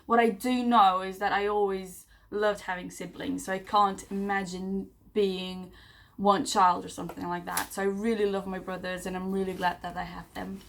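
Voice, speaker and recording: A woman's voice: mid-range voice, little pitch movement, moderate pace, creaky, a bit bright, slight background noise. Chatty Serbian-born woman in her twenties. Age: 20s. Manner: chatty, rambling, frequent fillers and self-corrections. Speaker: vlogger. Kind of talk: casual personal vlog.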